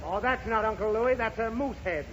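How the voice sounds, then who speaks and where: A man's voice: high voice, a little fast, close to an everyday voice. An actor playing an irritable American father in a 1930s radio comedy, grumbling and explaining things with huffy sarcasm. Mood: exasperated. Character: exasperated, grumbling father of a pestering little girl. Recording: dull, noisy 1930s radio recording.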